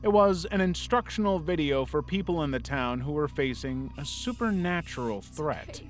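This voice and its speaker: A man's voice: on the high side, animated, normal speed. A man reading a scripted narration in the role of a video essay narrator. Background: background music.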